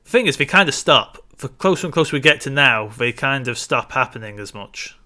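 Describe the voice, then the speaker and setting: A man speaks in a bright, forward voice at a medium pitch. He sounds like a podcast co-host in a casual discussion of films. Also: lively intonation, fairly quick.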